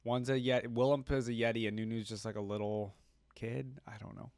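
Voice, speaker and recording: Male reaction host, mid-pitched voice, casual commentary, slangy, thinking out loud, very little room sound. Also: steady tone, fairly quick, smooth, no echo. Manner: informal, slangy, thinking aloud.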